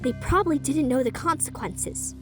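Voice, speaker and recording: A woman voicing a calm, thoughtful male ghost who speaks in a measured, grave way. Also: sits high, faint music behind, close to an everyday voice.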